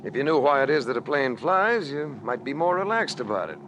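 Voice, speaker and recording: An American actor playing a suave, hard-boiled adventurer who narrates in a wry, clipped old radio detective style. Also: male voice, mid-range voice, sing-song, a little fast, close to an everyday voice. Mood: breezy, persuasive. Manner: wry, smooth, clipped noir delivery. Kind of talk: 1940s-50s radio detective drama acting. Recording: hissy old-time radio recording.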